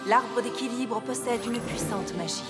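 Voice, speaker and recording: A woman's voice: a little high, unhurried, close to an everyday voice. A woman playing a solemn, regal adult pony ruler with a declamatory delivery, in a French cartoon dub. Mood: grave. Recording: loud music over voice.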